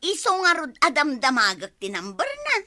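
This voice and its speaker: High, bright, boastful village woman acted by a woman. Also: wildly swooping pitch, normal speed, dry recording, clean studio sound, exaggerated voice. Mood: smug. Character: boastful village woman bragging of important guests. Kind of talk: Ilocano radio comedy drama acting.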